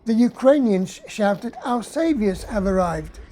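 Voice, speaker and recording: A woman with a husky voice giving a quiet, grave first-person reading of a testimony. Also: mid-range voice, expressive, unhurried, a little hiss or hum. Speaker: reader of a survivor's testimony. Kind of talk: read testimony.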